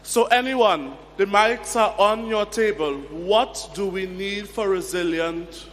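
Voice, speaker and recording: A man's voice: high voice, slow, fairly bright tone. A man chairing a youth session, prompting the audience in a conference hall. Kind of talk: moderating a youth panel. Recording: hall reverb.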